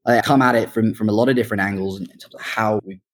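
Guy in his 20s being interviewed, lowish voice, chatty and keen, tells his story earnestly. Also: fairly even intonation, fast. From Hong Kong and UK. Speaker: startup founder being interviewed. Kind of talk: conversational interview answers.

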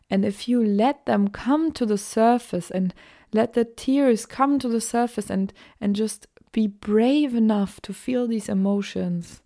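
Soft-spoken German-accented woman, gravelly. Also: medium pitch. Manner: gentle, searching, with soft whispered asides. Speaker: podcast host. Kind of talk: solo spiritual podcast talk.

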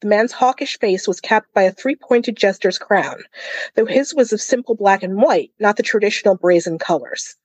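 Woman, medium pitch, podcast co-host, casual book talk and read-aloud, very dry close sound. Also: normal speed, no room sound.

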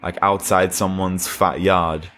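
A man's voice: low-pitched, little pitch movement, takes it slowly, fairly bright tone. A young man from Australia and Nigeria, a skater guest chatting loosely with slang and lots of fillers. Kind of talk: casual chat.

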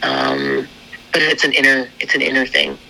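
A man's voice: medium pitch, sing-song, normal speed, vocal fry, sharp tone, slight background noise, voice pushed up, exaggerated voice, low-quality recording. A man putting on a vague, mystical woman shaman who rambles solemnly about inner journeys. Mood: spacey. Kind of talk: comedy bit with a put-on guest.

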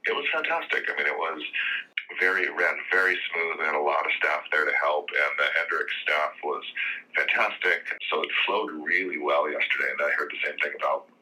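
A man's voice: a bass voice, fairly quick, pushed and bright, rough recording. An American man giving informal interview answers as a school official, often dropping in "you know".

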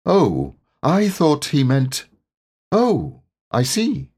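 A man voicing a humble, slow-witted, good-natured bear in a storybook, speaking in a hesitant, muddled way. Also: fairly high pitch, wildly swooping pitch, darkish tone, husky, voice pushed up, exaggerated voice.

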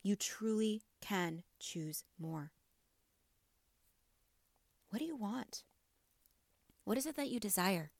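A mother hosting a motivational podcast, her voice a little raspy from allergies, talking earnestly and encouragingly and asking her listeners question after question. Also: medium pitch, expressive, slow, long pauses, no echo. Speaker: podcast host.

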